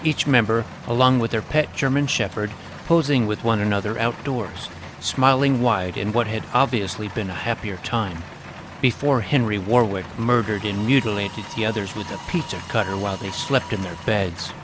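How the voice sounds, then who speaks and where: A man's voice: lowish voice, normal speed. A man giving scripted first-person storytelling narration as the narrator of a tale. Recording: clear background noise, sound effects.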